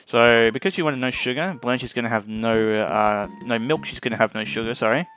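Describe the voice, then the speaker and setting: A man's voice: medium pitch, fairly quick, a bit bright. Man commentating a game over music. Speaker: gaming video host. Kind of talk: casual let's play commentary, reading game dialogue aloud.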